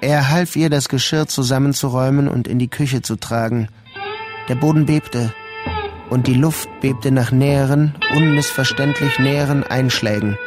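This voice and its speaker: A male narrator reads scripted literary prose for a radio drama. His phrasing is sober and deliberate, at a medium pitch, with music clearly audible behind him. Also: fairly even intonation.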